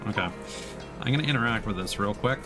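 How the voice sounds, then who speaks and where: A man with a fairly low voice gives casual live commentary on a video game stream. Game music plays clearly behind him. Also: quick pace.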